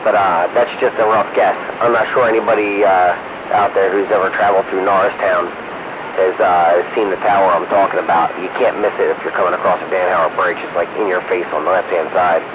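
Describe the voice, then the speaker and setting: An American man with a somewhat low voice talks in a rambling, casual way. He comes through on a two-way radio that is dull and plainly noisy. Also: fairly even intonation, fairly quick. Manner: rambling, peppered with "you know what I mean".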